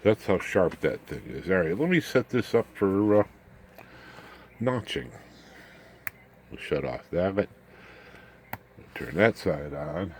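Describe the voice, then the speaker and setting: A man giving an informal, unscripted electronics demonstration, haltingly, muttering asides as he works with his hands. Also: deep voice, big pitch swings, a little hiss or hum. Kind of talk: informal unscripted technical show-and-tell.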